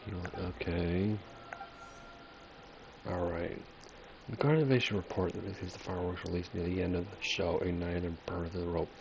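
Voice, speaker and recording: A man's voice: deep voice, fairly even intonation, normal speed, pauses often. A man playing through a game, reading dialogue aloud with casual asides and some stumbles. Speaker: gamer making a playthrough. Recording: clearly noisy background.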